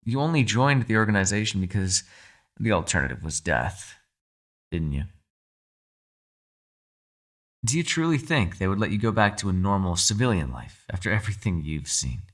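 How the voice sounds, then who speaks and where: A man playing a captive mind-controlling being in an ASMR roleplay, calm, shrewd and persuasive, speaking in a measured, formal, quietly condescending way. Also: lowish voice. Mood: coolly probing. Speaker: voice actor. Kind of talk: ASMR roleplay, dramatic monologue.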